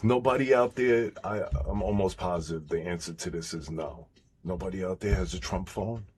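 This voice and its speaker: Very deep-voiced man with a sore throat. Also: normal speed, darkish tone, breathy edge. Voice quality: baritone. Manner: blunt and profane, drops to a whisper at times. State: sore throat after vocal cord surgery, voice strained. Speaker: streamer. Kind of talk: casual live-stream chat.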